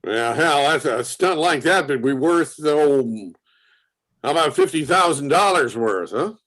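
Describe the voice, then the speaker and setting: A man performs a gruff inventor in a stage drama, speaking slowly in a fairly high male voice. He is folksy and blustering, halting and rambling as he goes, cagey and hard of hearing. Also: expressive, a bit bright. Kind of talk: dramatic play reading. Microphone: video call.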